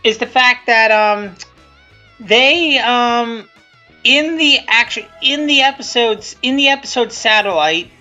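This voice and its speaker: Bright-voiced rambling woman, dull lo-fi recording. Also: a little high. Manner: rambling, halting, repeats and restarts sentences often. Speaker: creator of a fan abridged series giving commentary. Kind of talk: casual unscripted video commentary. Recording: dull lo-fi sound with music underneath. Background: music from the video being commented on.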